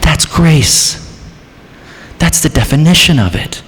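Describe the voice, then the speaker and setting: A man preaching a sermon as pastor, dramatic, moving between hushed passages and raised, emphatic ones. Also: fairly high pitch, expressive, slow, a little hiss or hum. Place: church sanctuary. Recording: church hall reverb.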